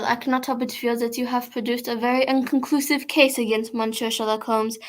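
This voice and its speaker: A formal, authoritative female magistrate in an old-style radio mystery, played by an amateur actress. Her voice is fairly high and very even as she questions witnesses. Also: close to an everyday voice. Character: formal, authoritative female French prefect judging a case.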